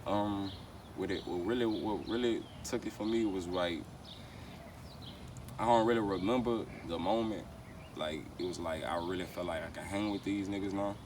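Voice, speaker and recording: Very low-voiced American man, slangy and casual. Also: normal speed. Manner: slangy, repeats verbal tags like "you know what I'm saying". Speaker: rapper being interviewed. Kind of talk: casual interview chat. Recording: noisy, distant mic, outdoor street ambience.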